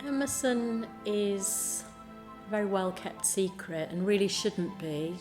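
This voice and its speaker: A woman's voice: medium pitch, takes it slowly. A woman representing a college, giving a composed promotional talk. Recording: clear music behind the voice. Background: background music.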